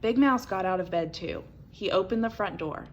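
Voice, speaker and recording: A woman reading a children's picture book aloud as an expressive storyteller. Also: mid-range voice, normal speed, slight background noise.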